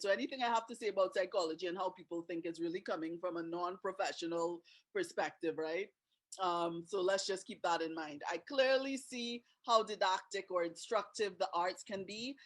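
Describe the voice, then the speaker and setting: A woman with a Trinidadian accent, hosting a webinar over a video call in a chatty way and asking her guests questions. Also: medium pitch. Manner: chatty, laughs often, asks questions. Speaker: webinar host.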